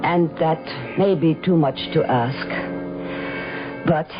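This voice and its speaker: A woman's voice: deep voice, slow pace, over music. An actress playing a cornered, proud and wary woman, speaking formally and precisely in a radio drama. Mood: anxious resolve. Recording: dull lo-fi radio recording.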